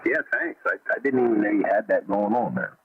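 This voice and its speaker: A man with a very deep voice chatting over ham radio in a friendly, folksy way. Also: very animated, fairly quick, noisy background. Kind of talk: casual radio chat. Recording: radio transmission, narrow band.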